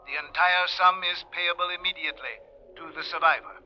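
A man's voice: a little high, fairly quick, close to an everyday voice. A man playing an uncle's remembered voice in 1940s radio drama, formal and legalistic. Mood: stern. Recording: dull old radio recording, faint music.

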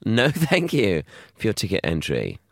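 A man's voice: fairly low pitch, wildly swooping pitch, normal speed, vocal fry, no echo, clean studio sound. A man co-hosting a podcast, full of teasing, wry banter. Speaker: podcast co-host. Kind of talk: casual comedic chat.

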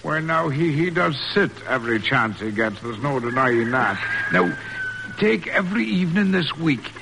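An actor playing a good-natured farmhand in a rustic, colloquial 1940s radio drama style. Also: male voice, medium pitch, lively intonation, moderate pace, creaky, sharp tone. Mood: earnest admiration. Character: good-natured folksy male farmhand, loyal and plainspoken. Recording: noisy old radio broadcast recording.

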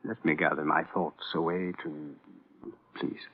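An actor playing a proud, weary psychiatrist in a classic radio drama, speaking in formal, deliberate, stilted phrases. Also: male voice, rumbling low, flat delivery, husky, exaggerated voice. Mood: mournful, fervent. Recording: tinny, narrow old radio recording.

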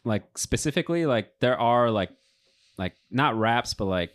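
A man co-hosting a podcast, chatting casually in an animated, joking way. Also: mid-range voice, moderate pace. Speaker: podcast co-host. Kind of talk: casual chat.

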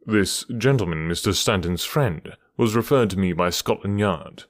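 A man's voice: deep voice, expressive, moderate pace, no room sound, studio quality. A man doing an earnest young fellow. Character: eager young man, anxious about his missing friend. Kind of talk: audiobook character voice.

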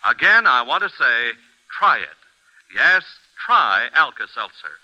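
A male announcer delivers a vintage radio commercial in a very bright, forceful voice. His pitch moves in lively swings as he makes a polished, persuasive appeal straight to the listener. The old broadcast sounds slightly dull. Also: unhurried. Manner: persuasive, polished, direct address. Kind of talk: scripted classic radio advertising pitch.

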